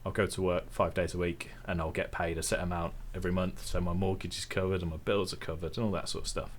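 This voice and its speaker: A man's voice: low voice, quick pace. A young man with an English Midlands accent, chatting casually and easily as an interview guest. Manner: relaxed, chatty, self-deprecating. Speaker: tech YouTuber guest.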